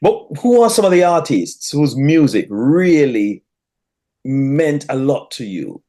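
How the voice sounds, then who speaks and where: A Jamaican man hosts an interview in a conversational style. His mid-pitched voice swings widely up and down as he talks, with a Jamaican accent. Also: very animated, takes it slowly, dark tone. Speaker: interview show host.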